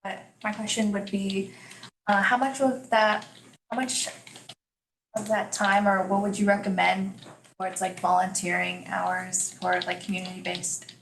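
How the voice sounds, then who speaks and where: A woman in the audience asking a question, halting as she searches for words. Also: mid-range voice, level tone, creaky, a bit bright. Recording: echoey call with faint noise.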